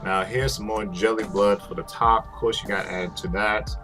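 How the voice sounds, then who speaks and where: A man's voice: lowish voice, steady tone, normal speed. A man narrating a craft tutorial in a casual, rambling way, swearing freely. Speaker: host, prop maker. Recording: music behind the voice.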